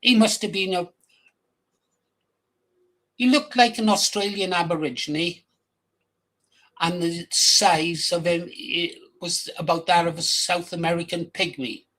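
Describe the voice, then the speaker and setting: A man's voice: high voice, steady tone, a little slow, long pauses, a bit bright, rough recording. An older man with a Welsh accent, hosting his own channel in a blunt, rambling casual monologue. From England, raised in Wales from age ten. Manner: blunt, crude, rambling with restarts. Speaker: channel host.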